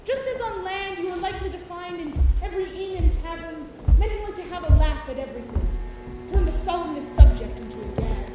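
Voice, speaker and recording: Woman acting high, theatrical sailor-lecturer, echoing hall. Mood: wry, pompous. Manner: declamatory, old-fashioned literary diction, dramatic pauses. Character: Elijah, eccentric theatrical sailor-lecturer musing over whales. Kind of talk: theatrical stage monologue. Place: large theatre auditorium, live stage performance. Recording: echoey auditorium from far off, music behind. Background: loud music.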